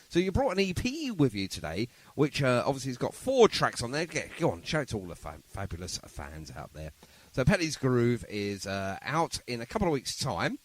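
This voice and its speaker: A male radio host chatting casually with a studio guest. His delivery is enthusiastic and rambling, with a pitch that moves around in a very animated way. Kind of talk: casual radio interview and chat.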